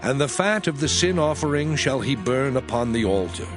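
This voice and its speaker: A man reading scripture in a formal, solemn and measured declamatory style. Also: mid-range voice, lively intonation. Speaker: scripture narrator. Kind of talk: dramatized audio Bible reading, formal narration. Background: music.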